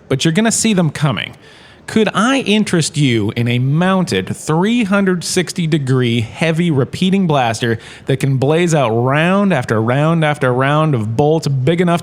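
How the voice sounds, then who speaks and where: A man playing a washed-up showbiz star turned university lecturer, giving a chatty, jokey scripted lecture in a comedy audio drama. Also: on the high side. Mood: salesman-like enthusiasm. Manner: chatty, jokey, showman-like salesman patter.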